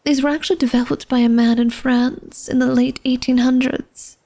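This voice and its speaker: A woman's voice: sits high, flat delivery, moderate pace, mellow. A woman playing an elegant, secretive vampire lady of a manor in a flirtatious ASMR roleplay, speaking formally and coyly to the listener. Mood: genteel, proud. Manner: formal, genteel, coy, teasing speech addressed to the listener.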